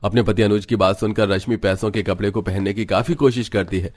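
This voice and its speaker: A man narrating a scripted animated story. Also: on the low side, fairly quick.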